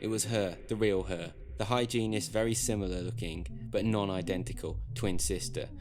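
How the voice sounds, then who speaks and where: A man's voice: lowish voice, fairly even intonation, normal speed. A man reading a scripted first-person comic monologue in a wry, self-deprecating, wordy manner. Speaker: storyteller.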